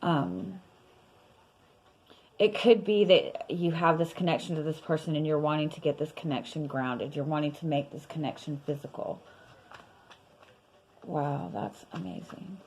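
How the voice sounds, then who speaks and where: A young woman reading tarot in a casual, rambling way, her voice worn and going hoarse, with many fillers and soft laughs. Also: low-pitched, fairly even intonation, measured pace, pauses often, slight background noise, rough recording. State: losing her voice. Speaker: tarot reader.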